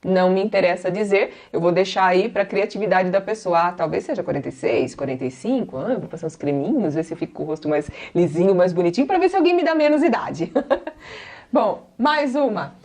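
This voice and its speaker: A Brazilian woman in her forties with a Brazilian Portuguese accent, an English teacher patiently explaining and slowly repeating each example. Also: lowish voice, animated, a little mellow. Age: 40s. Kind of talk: classroom-style explanation.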